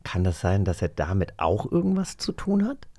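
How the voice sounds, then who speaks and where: A man's voice: low-pitched, wildly swooping pitch, moderate pace, mellow, no echo. Man co-hosting, dry close sound. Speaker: podcast co-host. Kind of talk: casual chat, quizzing co-host.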